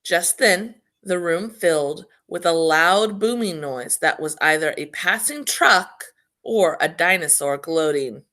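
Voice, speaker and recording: A woman reading a children's storybook aloud in a playful, tongue-in-cheek manner with emphatic pauses. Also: fairly low pitch, pushed and bright. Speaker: school storybook reader.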